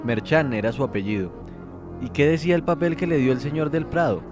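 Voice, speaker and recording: An actor playing an uneasy Colombian priest in radio drama dialogue, guarded and deferential. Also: male voice, a little high, brisk, music in the background, lightly acted. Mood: hesitant, polite. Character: uneasy priest fending off a penitent's confession.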